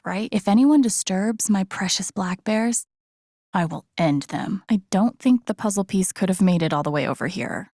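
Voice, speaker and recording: A woman's voice: mid-range voice, creaky, dark tone, no echo, clean studio sound. An actress playing an empathetic young woman in a video game, thinking aloud in a casual, wry, understated way. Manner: casual, wry, muttering to herself. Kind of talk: understated video game voice acting, interior monologue.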